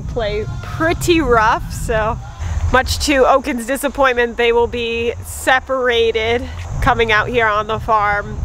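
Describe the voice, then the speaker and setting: A young woman with a fairly high voice chats casually through a farm vlog. She films herself outdoors on a phone held at arm's length, and a faint hiss sits under her voice. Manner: chatty, light laughs, baby-talks to her dogs. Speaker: farm vlogger.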